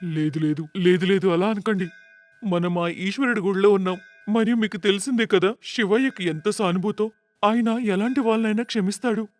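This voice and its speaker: Earnest high-voiced elder brother played by a man. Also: normal speed, soft background music, natural voice. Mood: pleading. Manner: earnest, pleading. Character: devoted, anxious elder brother, protective and pleading. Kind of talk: moral-story cartoon dubbing.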